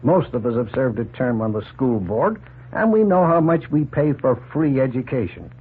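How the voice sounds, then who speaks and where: An actor playing a plain-spoken, opinionated middle-aged farmer in a folksy, emphatic 1940s radio drama style. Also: male voice, mid-range voice, lively intonation, normal speed, husky. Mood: firm, explaining. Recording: noisy, tinny 1940s radio recording.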